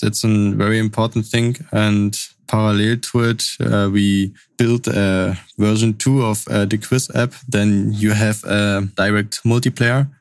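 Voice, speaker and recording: A young man from Lusatia with a German accent, speaking non-native English as a podcast guest, answering in a halting, casual way. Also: on the low side, level tone, no room sound. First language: Upper Sorbian.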